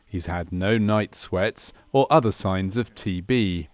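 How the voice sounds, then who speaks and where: A man reading scripted narration for a medical training film in a measured, clinical way. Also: low voice, dry recording.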